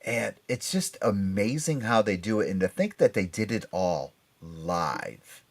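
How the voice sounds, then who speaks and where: A man's voice: lowish voice, animated, unhurried. A man hosting a casual pop culture chat show, talking in an enthusiastic, emphatic, rambling way. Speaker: podcast and radio show host.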